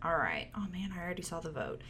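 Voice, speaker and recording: Gravelly low-voiced woman podcaster. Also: sing-song, fast talker, sharp tone, a little hiss or hum. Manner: wry, reads with side comments. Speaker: podcast co-host. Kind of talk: casual chat, reading posts aloud.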